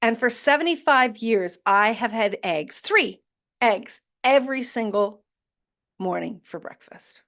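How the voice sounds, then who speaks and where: A woman's voice: a little high, measured pace, fairly bright tone. A woman hosting a motivational podcast, speaking earnestly and emphatically to her listeners, asking rhetorical questions and sometimes swearing mildly. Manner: blunt, with mild swearing and laughs. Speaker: podcast host.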